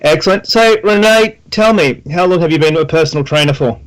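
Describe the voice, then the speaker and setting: A man's voice: fairly high pitch, a little fast, rough recording. A middle-aged man hosting a podcast interview, speaking earnestly and often restarting his sentences. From Australia. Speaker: podcast host, personal trainer.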